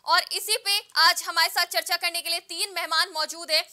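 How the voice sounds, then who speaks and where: A young woman anchors a TV news debate in a very high-pitched, piercingly bright voice. She speaks emphatically, stacking rhetorical questions and repeating key phrases. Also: steady tone, moderate pace. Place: TV news studio.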